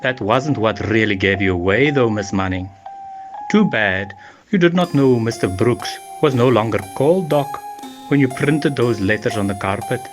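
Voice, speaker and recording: A man playing a calm, formal police inspector in an amateur radio murder mystery, speaking in a measured, deliberate way. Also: lowish voice, soft background music, close to an everyday voice. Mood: calmly certain. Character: calm, methodical male police inspector questioning murder suspects. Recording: noisy amateur voice-message recording with clicks.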